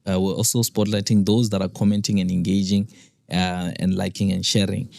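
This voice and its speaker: A man's voice: low voice, level tone, brisk, vocal fry, darkish tone, dry recording. A man hosting a podcast, talking in a chatty, upbeat way with many fillers while fighting a flu. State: has a flu, deep hoarse voice. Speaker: podcast host. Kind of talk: podcast interview. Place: studio.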